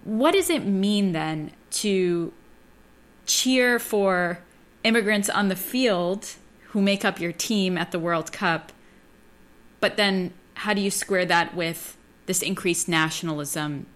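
A woman's voice: lowish voice, slow, a bit bright. A woman hosting a radio show, speaking in a conversational interview style. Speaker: host.

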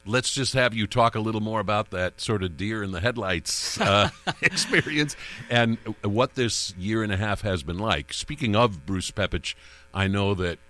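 Low-voiced male radio host. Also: sharp tone. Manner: easygoing, halting questions. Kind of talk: radio interview.

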